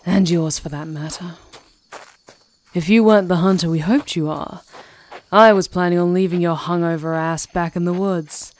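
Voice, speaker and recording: A woman's voice: on the low side, fairly even intonation, moderate pace, darkish tone, close to an everyday voice. A woman playing a cocky, teasing pack leader in an audio roleplay, with a brash, casual manner.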